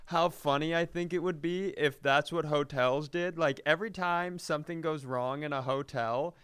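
A man's voice: a little high, moderate pace, fairly bright tone, clear, resonant tone, no echo, clean studio sound. A man co-hosting a comedy podcast, telling stories in casual, profane banter with mock quotes.

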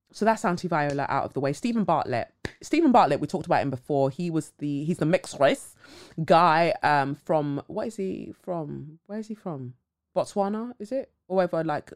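A woman's voice: fairly low pitch, animated, moderate pace. A woman hosting a podcast, talking bluntly and casually, swearing freely, with dramatic asides. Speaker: podcast host. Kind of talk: casual commentary monologue.

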